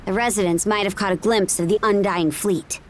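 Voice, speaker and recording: A woman's voice: medium pitch, steady tone, brisk, lightly acted. A woman playing a confident, practical young seafarer in a video game cutscene, speaking casually and matter-of-factly.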